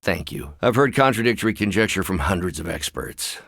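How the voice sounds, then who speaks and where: An actor voicing a brilliant, anxious, wry scientist with buried anger in a video game, speaking in precise, articulate, dryly sardonic phrases. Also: male voice, low-pitched, animated, a little fast, creaky, a bit bright, dry recording, clean studio sound, full character voice. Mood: measured, guarded.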